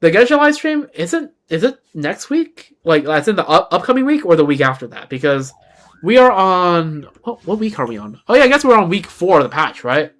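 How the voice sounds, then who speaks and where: Rambling young man, slightly high voice. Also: very animated, normal speed. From China (Beijing). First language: Chinese. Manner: rambling, self-correcting, chatty. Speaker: game streamer. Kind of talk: casual stream chat.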